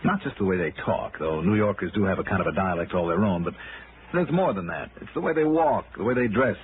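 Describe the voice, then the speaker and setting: An actor playing a stubborn, wry insurance investigator in a 1960s radio noir drama, brisk and insistent. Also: male voice, deep voice, lively intonation, a little hiss or hum. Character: stubborn, wry freelance insurance investigator, hard-boiled and persistent. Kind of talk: 1960s radio noir acting and first-person narration. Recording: tinny narrow-band old radio broadcast, music.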